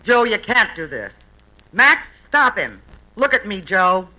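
Possessive faded movie queen, theatrical old radio comedy, piercingly bright, swooping delivery. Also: mid-range voice, big pitch swings, slow pace, heavily acted, poor audio. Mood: desperate pleading. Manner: theatrical, pleading. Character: possessive, melodramatic faded film-star woman.

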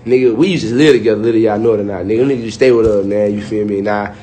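A man in his twenties chatting excitedly on a phone livestream from a hotel room, with stammering repeats and bursts of shouting and laughter. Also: on the low side, very fast. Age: 20s. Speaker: livestream host. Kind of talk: casual bragging chat on a phone livestream. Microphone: phone held at arm's length.

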